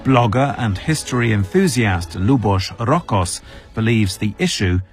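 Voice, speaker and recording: A man reporting as a news correspondent in a measured, scripted narration. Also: lowish voice, lively intonation, soft background music.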